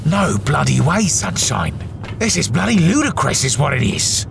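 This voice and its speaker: A man voicing an excitable, mouthy, tactless spaceship crewman who complains and swears in an audio drama. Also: a little high, normal speed, gravelly, background noise, pitched above the performer's own voice, heavily acted. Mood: incredulous. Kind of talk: audio drama character acting.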